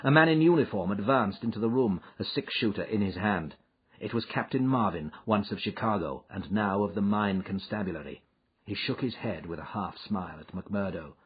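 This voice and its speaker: A man's voice: lowish voice, animated, moderate pace, breathy edge, lo-fi sound. A man reading an audiobook as narrator, in a dramatic scripted storytelling style.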